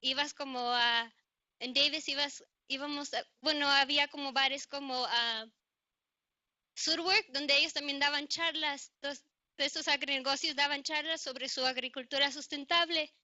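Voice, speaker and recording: A young woman in the audience poses a question, her talk chatty and loose and full of filler words. Her voice is high and very bright and sharp. The recording sounds dull and lo-fi. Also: steady tone, moderate pace. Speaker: audience member. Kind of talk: question from the floor.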